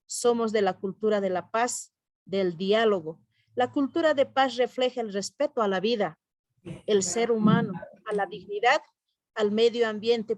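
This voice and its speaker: A woman's voice: on the high side, a little mellow, no room sound. A woman from Bolivia with a Bolivian Spanish accent, a culture ministry representative giving a solemn, declamatory prepared speech over a video call, with a few hesitations.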